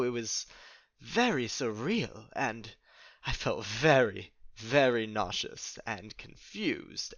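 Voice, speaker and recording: A man playing a flustered, confused, nature-loving man who speaks haltingly in formal words in an audio drama. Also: mid-range voice, a bit bright, no room sound. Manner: halting, stammering, formal wording.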